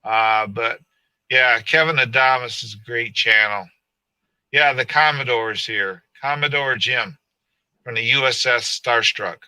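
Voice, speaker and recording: Bright-voiced man chatting on a live stream. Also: mid-range voice, a little slow, clean tone, dry recording. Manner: rambling, joking, laughs often. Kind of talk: casual live stream chat.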